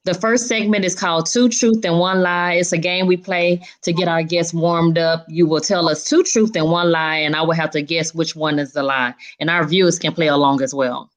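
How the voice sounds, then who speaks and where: A woman's voice: lowish voice, steady tone, moderate pace, hardly any pauses. A woman hosting an upbeat interview show in an informal, chatty way, often repeating short affirmations. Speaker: show host.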